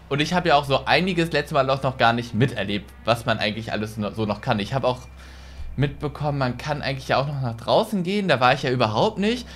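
A man's voice: mid-range voice, fairly bright tone, a little hiss or hum. A young man giving chatty, casual let's play commentary on a headset mic, thinking aloud as he goes. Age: young adult. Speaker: gaming YouTuber, host. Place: small decorated gaming room, indoors. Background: game music.

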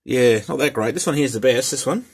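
A middle-aged man with an Australian accent and a husky voice, talking casually and a little hesitantly as he hosts a hobby vlog. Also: medium pitch, a little fast. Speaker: host and radio hobbyist.

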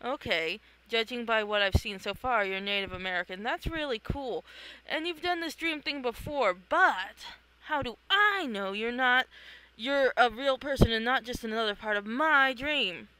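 A performer voicing an excitable, blunt and wary young superhero in a comic read-along. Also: mid-range voice, normal speed, smooth, low-quality recording.

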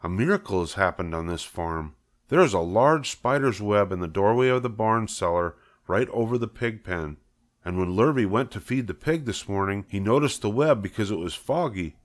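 A man's voice: lowish voice, lively intonation, normal speed, close to an everyday voice. A man voicing an earnest, solemn farmer in a storybook, awestruck and emphatic. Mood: awestruck, insistent.

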